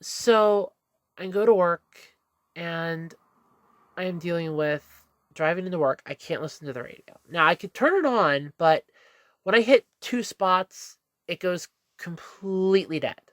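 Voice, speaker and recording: A woman's voice: fairly low pitch, animated, a little slow, dry recording, studio quality. Stuffy-nosed woman rambling to camera. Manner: meandering, self-correcting, candid. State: stuffy nose. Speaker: vlogger. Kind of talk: casual rambling monologue.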